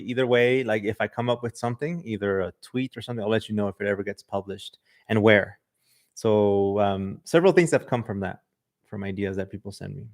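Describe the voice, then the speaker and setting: A man from Southern California with a slight Mexican accent, a podcast host chatting loosely on a livestream and reading viewer comments, with lots of "like" and "um". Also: mid-range voice. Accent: Mexican Spanish influence. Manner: loose and chatty, many "like" and "um" fillers. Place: livestream in front of a camera.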